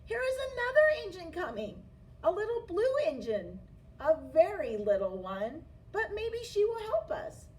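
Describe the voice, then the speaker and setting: A woman's voice: very high voice, sing-song, a little slow, pitched above the performer's own voice, exaggerated voice. A woman voicing a hopeful little toy clown in a storybook, calling out encouragingly. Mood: eager, hopeful. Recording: distant mic, faint noise.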